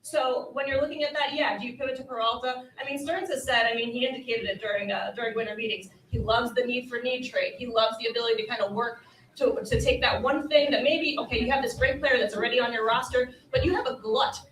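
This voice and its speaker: A woman's voice: a little high, fast talker, fairly bright tone, slight background noise. A woman giving conversational sports analysis on a panel, emphatic and repeating key phrases for weight. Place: live stage at a fan convention. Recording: echoey room, distant from mic.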